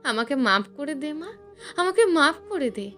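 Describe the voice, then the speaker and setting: A woman's voice: high voice, sing-song, a little slow, a bit bright, faint music behind, full character voice. A woman narrator voicing a guilt-ridden, frightened middle-aged father in a story, haunted and pleading.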